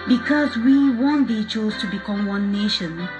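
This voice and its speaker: A woman from Cameroon reciting a solemn message of peace in a measured, declamatory way. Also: mid-range voice, lo-fi sound. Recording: spoken over a loud music bed.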